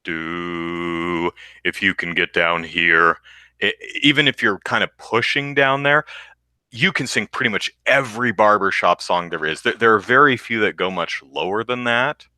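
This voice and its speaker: A man with a deep bass voice, co-hosting a podcast in casual chat, rambling with lots of restarts. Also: measured pace, sharp tone, clean tone, dry recording. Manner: rambling, self-interrupting with restarts, sings low demo notes. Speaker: podcast co-host.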